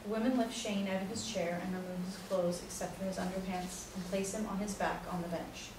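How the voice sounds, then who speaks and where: A woman's voice: medium pitch, steady tone, brisk. A woman reading scripted stage directions as the narrator of a play. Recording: very echoey room, distant mic, noisy.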